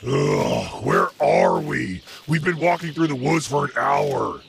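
A man voicing a gruff, blunt, exasperated axe-loving party leader in an animated gaming comedy, with a gravelly voice. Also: on the high side, slow, slight background noise, full character voice. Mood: grumbling.

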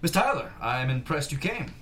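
A man's voice: on the high side, sing-song, a little fast, sharp tone. A man plays a domineering tycoon of the 1890s in a dramatic script reading. His delivery is curt and commanding, with swinging intonation and a faint noise in the room. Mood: politely formal. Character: powerful 1890s male tycoon, irritable, domineering husband and father. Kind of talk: dramatic table read.

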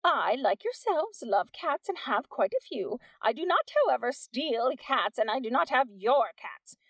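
A woman's voice: a little high, big pitch swings, dry recording, voice pushed up, exaggerated voice. A woman voicing a scheming middle-aged mother who speaks theatrically and evasively to a crowd. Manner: theatrical, slow, smooth-talking.

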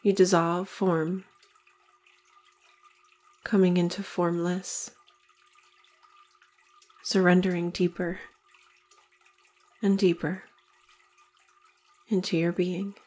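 A woman leading a guided meditation in slow, deliberate phrases with long pauses between them. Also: fairly low pitch, flat delivery, a little mellow.

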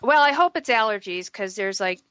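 Bright, slightly hoarse woman podcaster. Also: mid-range voice, animated, normal speed, lo-fi sound, slight background noise. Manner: chatty, self-deprecating, explains at length with restarts. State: slightly hoarse from a sore throat. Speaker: podcast co-host. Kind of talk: casual gaming podcast chat.

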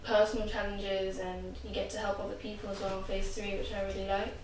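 A woman's voice: medium pitch, little pitch movement, fairly quick. A sixteen-year-old young woman, giving casual answers in an interview. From North West London. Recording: very echoey, distant, faint noise.

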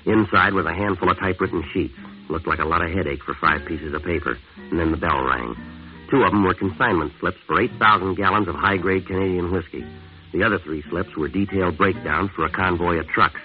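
An actor playing a tough, world-weary American jazz musician, clipped and deadpan, in a 1950s hard-boiled radio drama. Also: male voice, low-pitched, brisk, natural voice. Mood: deadpan, brooding. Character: tough, world-weary male jazz musician narrating his crime story. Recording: tinny old radio recording with music.

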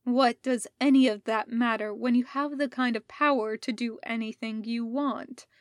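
Woman doing a scheming male sith lord, calm, low, persuasive, dry close voice. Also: normal speed, no echo, lightly acted.

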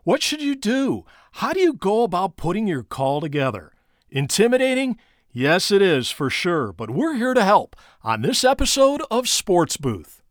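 A man's voice: sits high, very animated, normal speed, no room sound. A middle-aged American man hosting an instructional podcast in an emphatic, coaching way, speaking straight to the listener like a practiced sports broadcaster. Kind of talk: prepared instructional podcast narration with personal anecdotes.

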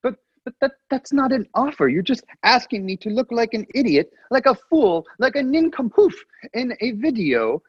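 Dude doing a pompous snooty royal with a fake polish accent, kinda husky, muffled audio. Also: male voice, very high voice, sing-song, normal speed, few pauses, no room sound, pitched above the performer's own voice, exaggerated voice. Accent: put-on Polish. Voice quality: snooty, fussy, grandiose. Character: pompous, indignant young royal Randolph.

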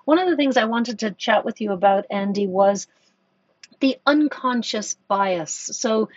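A woman's voice: fairly high pitch, lively intonation, a little slow, a little mellow, husky, dry recording, lo-fi sound. A woman from Ireland, a corporate executive talking thoughtfully as a podcast guest, with many restarts. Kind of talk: conversational podcast interview.

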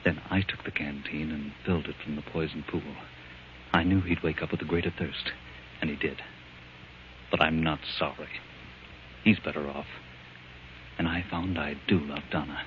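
Deep-voiced, anxious protective man. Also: steady tone, moderate pace, long pauses, a little hiss or hum. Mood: confessing. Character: anxious, protective man hiding his guilt. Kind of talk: 1940s radio acting. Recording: muffled, humming old radio recording.

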